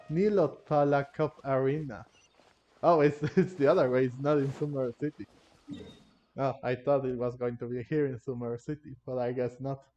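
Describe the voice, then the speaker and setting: A man's voice: medium pitch, dark tone, lo-fi sound. A man giving casual playthrough commentary on a game, thinking aloud hesitantly and repeating phrases. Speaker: gaming content creator.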